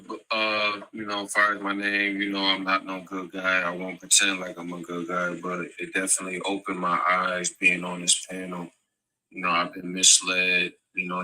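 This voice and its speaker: A man's voice: low-pitched, pushed and bright, poor audio. A man speaking as a panel guest, subdued and apologetic, with lots of "you know". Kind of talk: casual live panel discussion.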